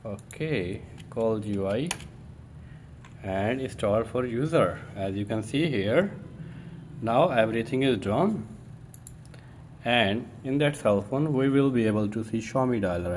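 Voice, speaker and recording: A man presenting an informal step-by-step tech tutorial in a halting way, thinking aloud as he works. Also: on the low side. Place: indoors at a computer desk. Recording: steady room noise.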